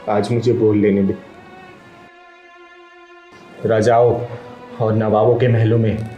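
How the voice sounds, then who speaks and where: An actor playing an aggrieved, wise transgender elder who pleads and preaches earnestly, in a melodramatic web drama. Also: male voice, fairly low pitch, dark tone, dull audio, natural voice. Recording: roomy echo with faint music.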